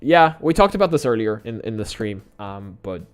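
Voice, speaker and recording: A man's voice: on the low side, sing-song, moderate pace, smooth, faint music behind. A man in his twenties, born in Poland and native in Polish, chatting candidly on a live stream and answering viewers with easy laughs. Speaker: YouTuber.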